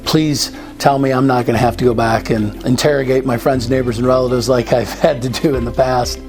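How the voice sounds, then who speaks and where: A man, an insurance agent and former teacher, telling his story in a relaxed, conversational way. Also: mid-range voice. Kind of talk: testimonial. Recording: background music.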